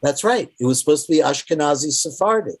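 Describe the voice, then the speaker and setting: A man hosting a casual interview, chatty and quick to jump in with asides. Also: a little high, animated, breathy edge. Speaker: host.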